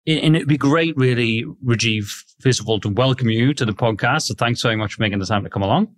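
Podcast host guy, mid pitch, loose chatty questions with um and uh. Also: fast, a bit bright. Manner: friendly, rambling, many fillers. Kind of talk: casual interview chat.

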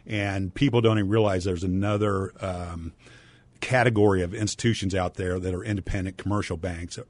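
Middle-aged radio guest, deep steady monotone, businesslike. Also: male voice, brisk, no echo. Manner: businesslike, steady, with many fillers. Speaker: guest, bank president. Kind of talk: radio interview.

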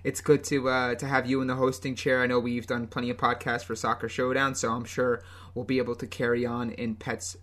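Young american dude co-hosting a soccer pod, mid-pitch voice, laid back and rambly. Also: male voice, a little fast. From United States. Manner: relaxed, rambling, many ums and restarts. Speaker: podcast co-host. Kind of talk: casual soccer podcast talk.

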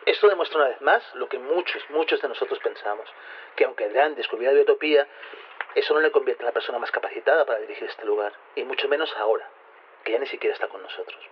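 An actor plays an earnest male scientist with a Castilian accent, talking very quickly. He explains and insists with quiet indignation, in audio drama style. The recording is slightly dull, like radio. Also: medium pitch, husky, close to an everyday voice. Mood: indignant. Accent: Castilian Spanish from Spain. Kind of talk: Spanish audio fiction acting.

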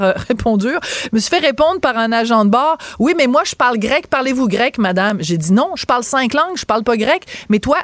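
A woman's voice: fairly high pitch, dry recording. A middle-aged woman with a Québécois accent, a radio co-host arguing her point with passion and emphatic repetition. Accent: Québécois French. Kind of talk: opinionated radio debate. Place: radio studio. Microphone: studio microphone.

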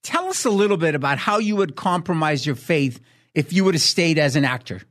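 A man hosting a talk show interview, warmly effusive and quick to exclaim praise. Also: fairly high pitch, big pitch swings. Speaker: host.

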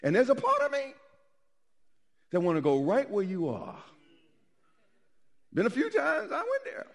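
A middle-aged man preaching a sermon as pastor, swinging from loud emphatic lines to soft quiet ones. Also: very high-pitched, huge pitch swings, a little slow.

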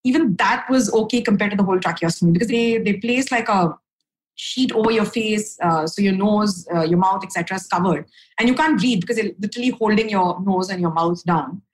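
Candid low-voiced woman guest. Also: fairly quick, low-quality recording. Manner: frank, upbeat, lots of fillers and "right?" tags. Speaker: podcast guest. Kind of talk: candid conversational storytelling.

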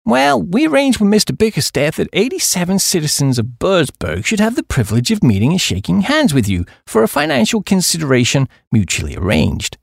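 A man's voice: high voice, wildly swooping pitch, fairly quick, vocal fry, few pauses, breathy edge, dry recording, clean studio sound, exaggerated voice. A man voicing a hearty American businessman in an audiobook, wordy and formal in a businesslike way. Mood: explanatory.

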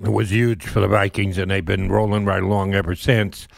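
A man's voice: deep voice, little pitch movement, brisk, vocal fry, dry recording, studio quality. A man hosting a sports radio show, asking his guest long, conversational questions.

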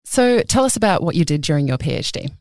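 A woman hosting a podcast, reading a scripted introduction and asking her guest questions in a clear, measured way. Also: low-pitched, sing-song, darkish tone, no echo, clean studio sound. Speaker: podcast host.

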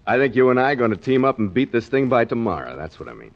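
A man's voice: medium pitch, very animated, quick pace, creaky. An actor playing a sly, cocky sailor schemer in 1940s radio drama, with slangy, needling tough-guy talk on a muffled old recording. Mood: cagey, confident. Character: sly, cocky waterfront schemer, a sailor angling to join a ship.

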